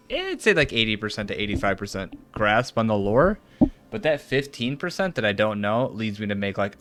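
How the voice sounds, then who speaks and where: A man's voice: on the low side, a bit bright. A man streaming a video game, reading its formal cutscene dialogue aloud with some stumbles and casual reactions.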